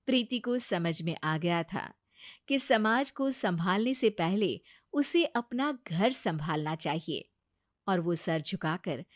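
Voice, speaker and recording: A woman's voice: on the low side, animated, slow pace, no room sound, studio quality. A woman narrating a scripted moral story as the storyteller.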